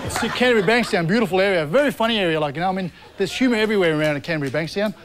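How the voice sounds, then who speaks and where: High-voiced rapid-fire comic, roaring crowd behind, mic a bit far. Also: male voice, very noisy. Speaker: stand-up comedian. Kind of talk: fast stand-up routine.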